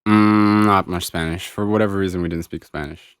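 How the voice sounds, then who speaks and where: A man hosting a podcast, relaxed and asking short questions, often laughing along. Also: sits low, a little fast, smooth, no echo, clean studio sound. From grew up speaking a creole-like English. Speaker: podcast host. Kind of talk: casual interview.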